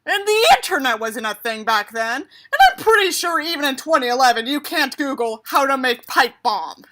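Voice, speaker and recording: A woman's voice: high voice, animated, moderate pace, background noise. A woman reading a book aloud and adding sarcastic, exasperated, emphatic asides of her own. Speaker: audiobook reader and commentator.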